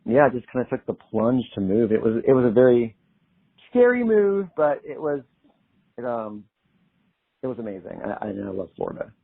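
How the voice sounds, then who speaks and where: A man's voice: mid-range voice, big pitch swings, normal speed, gravelly, husky. A young man from Pennsylvania, a singer-songwriter guest, chatting in an easygoing way and laughing often. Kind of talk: casual interview chat. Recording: tinny phone-like line.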